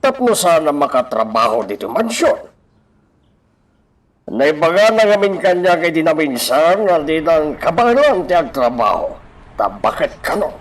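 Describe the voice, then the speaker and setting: A man's voice: a little high, sing-song, a little slow. A man acting a domineering, wealthy older godfather and mansion master in an Ilocano radio drama, authoritative, with a Filipino accent. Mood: demanding.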